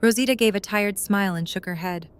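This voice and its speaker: A woman's voice: medium pitch, brisk, smooth. A woman reading scripted third-person story narration as the narrator of an audio drama.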